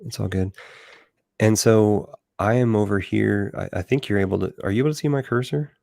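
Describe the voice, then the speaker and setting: A man's voice: fairly low pitch, brisk, vocal fry, darkish tone, no room sound, clean studio sound. A man hosting a livestream, talking casually and rambling with many fillers. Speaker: livestream host. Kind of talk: casual online chat.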